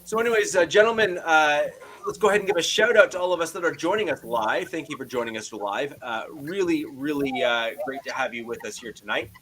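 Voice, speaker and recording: A man hosting a casual sports podcast over a video call, loud-voiced and loose, filling his sentences with "uh". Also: fairly high pitch, brisk. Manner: loose, filler-heavy, frequent "uh". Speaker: podcast host.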